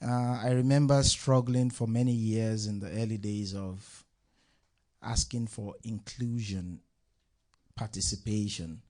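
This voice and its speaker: A man's voice: on the low side, fairly even intonation, a little slow, a little mellow. A man hosting a live talk show on stage at an art venue, introducing guests in loose, chatty patter.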